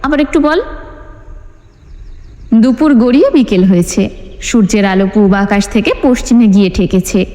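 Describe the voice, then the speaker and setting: A woman's voice: mid-range voice, moderate pace, warm tone. A woman narrating a scripted dramatic story as an audiobook reader. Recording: long hall reverb with music bed. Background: music.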